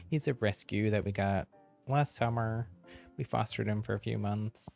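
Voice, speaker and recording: A man's voice: on the low side, a little slow, vocal fry, a little mellow, faint music behind. A man livestreaming a video game with casual, chatty, informal commentary. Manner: informal, often chuckles between remarks.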